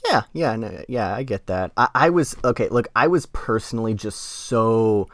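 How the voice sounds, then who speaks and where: A man's voice: on the low side, moderate pace, no room sound. A man co-hosting a podcast, giving casual, conversational reviews. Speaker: podcast co-host reviewing music. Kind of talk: casual chat, music review.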